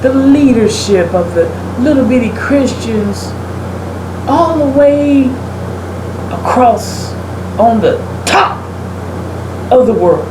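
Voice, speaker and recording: A woman with a fairly high voice speaks like an emphatic preacher giving her opinion. She is casual but pointed, leaning on rhetorical questions. The room echoes and there is clear background noise. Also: expressive, drawn-out words, long pauses. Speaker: talk show host giving opinions. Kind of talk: opinionated commentary in a casual conversation. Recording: roomy echo, clear air-conditioning-like background noise.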